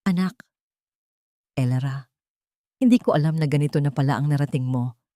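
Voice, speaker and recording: Woman playing a low-voiced, pleading, scheming mother. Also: mellow, no room sound, studio quality, close to an everyday voice. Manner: pleading, ingratiating. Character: scheming middle-aged mother feigning remorse.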